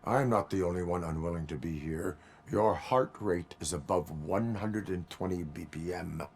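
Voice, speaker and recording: A stoic cyborg policeman from a video game. The voice sits very deep. Delivery is formal, clipped and deadpan, as befits someone part human and part machine. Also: moderate pace. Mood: flat, guarded.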